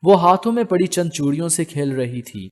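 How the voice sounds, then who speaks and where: Higher-voiced man narrating a romance novel. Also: lively intonation, a little fast, darkish tone. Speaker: audiobook narrator.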